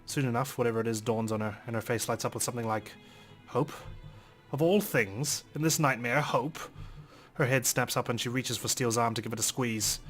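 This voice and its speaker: A man's voice: mid-range voice, a little fast, a bit bright, soft background music. A man hosting a let's play, reading a visual novel aloud dramatically and commenting on it.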